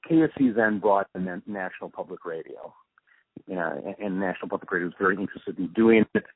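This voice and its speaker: Deep, husky-voiced man in a halting interview. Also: no room sound. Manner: halting, reflective, often trailing off mid-thought. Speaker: interview guest, radio drama producer and sound mixer. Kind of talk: casual interview recollection. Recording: muffled, narrow phone-like interview recording.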